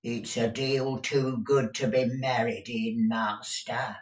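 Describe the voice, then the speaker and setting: A man voicing a deaf, blunt, cantankerous old housekeeper woman in a storybook reading, with drawn-out querulous rustic speech. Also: mid-range voice, steady tone, lightly acted. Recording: distant, echoey room recording.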